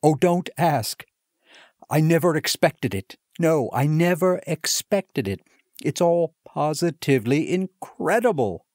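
A man's voice: a little high, takes it slowly, no echo, studio quality, voice pushed up. A man doing an elated, gushing young clerk. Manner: excited, gushing, tumbling exclamations. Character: overjoyed young male clerk, breathless with excitement. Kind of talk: audiobook character reading.

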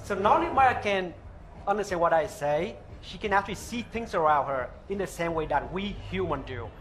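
A man in his thirties with a thick Vietnamese accent, earnestly pitching a product live on stage on a headset mic. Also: animated, a bit bright, a little hiss or hum. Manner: earnest, halting non-native phrasing. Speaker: startup founder pitching. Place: open-air stage at a trade show.

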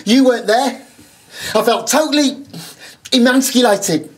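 An unhurried man's voice, slangy and aggrieved. a dim, cocky middle-aged soap star with bruised pride in a comedy. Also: extremely high pitch. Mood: indignant. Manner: indignant, slangy, sweary. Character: dim, wounded, cocky male soap actor. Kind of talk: naturalistic comedy soap acting. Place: radio studio corridor indoors, film camera.

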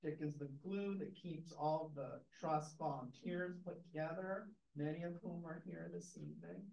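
An older man, president of a preservation trust, giving relaxed welcome remarks with many fillers to an audience in a library meeting room, recorded from a camera in the audience. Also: a little high, moderate pace. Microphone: far camera in the audience. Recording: echoey room, dull lo-fi sound.

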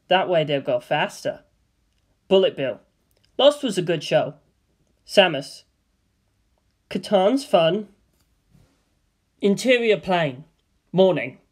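A man giving a deadpan comedic script reading, flatly reciting the stage directions and character names. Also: on the high side. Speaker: script reader.

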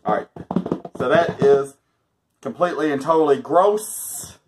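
A man's voice: fairly high pitch, unhurried, background noise. A man in his thirties chatting casually while testing a laundry product, joking and chuckling often, recorded on a camera mic in a small room. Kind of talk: casual product review chat. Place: small indoor room, backdrop with stars.

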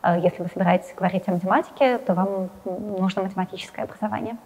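A young adult woman answering interview questions while seated in a studio, talking quickly with frequent hesitant fillers. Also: mid-range voice, fairly even intonation, a little mellow, long reverb tail, highs cut off. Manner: hesitant fillers, fast and talkative. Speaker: interviewee, science journalist. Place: indoor studio with plain wall, seated in armchair.

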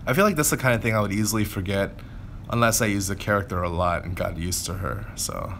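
A man's voice: fairly low pitch, expressive, fast, vocal fry, a bit bright, slight background noise. A man giving casual gameplay commentary, informally thinking aloud as he plays. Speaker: gameplay video creator.

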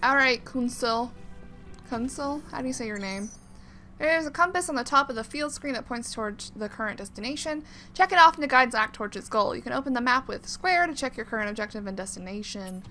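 A woman plays through a video game and talks casually as she goes. Her voice is high and very bright, and she reads on-screen text aloud over soft game music. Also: animated, a little fast. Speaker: let's play host.

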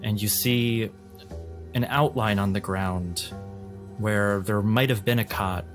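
Male game master, fairly low voice, deliberate descriptive narration for a tabletop role-play podcast, music underneath. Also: steady tone.

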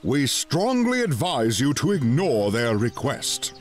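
A lofty tree-folk ambassador. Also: male voice, medium pitch, big pitch swings, takes it slowly, faint music behind. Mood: formal. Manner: formal, lofty diplomatic speech. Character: stately male tree-folk ambassador, haughty. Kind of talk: video game dialogue.

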